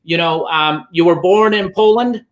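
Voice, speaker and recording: A man's voice: sits high, measured pace. A man from Bosnia hosting a conversational interview in a reflective way. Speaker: podcast host interviewing a guest.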